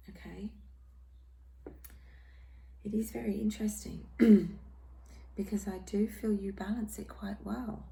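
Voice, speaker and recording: A hoarse, croaky woman giving a tarot reading in a soft, half-whispered, reassuring way. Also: medium pitch, a little hiss or hum, rough recording. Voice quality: croaky, throat-clearing. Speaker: tarot reader. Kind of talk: casual tarot reading monologue.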